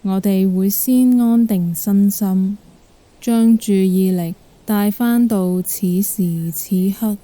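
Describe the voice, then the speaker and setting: Slow, very mellow Cantonese-accented woman meditation guide. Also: medium pitch, no echo, poor audio. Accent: Hong Kong Cantonese. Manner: gentle, calm, unhurried instruction.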